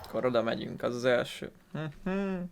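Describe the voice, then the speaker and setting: A man's voice: medium pitch, expressive, a little fast, vocal fry, background noise. A man with a Hungarian accent giving casual, informal let's-play commentary in muttered asides. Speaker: gaming video host. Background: game music.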